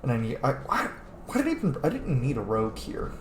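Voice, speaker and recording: A man's voice: mid-range voice, huge pitch swings, fast talker, echoey room, slight background noise. A man giving casual, sweary let's play commentary as a gaming host, informal and full of wisecracks. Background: game music.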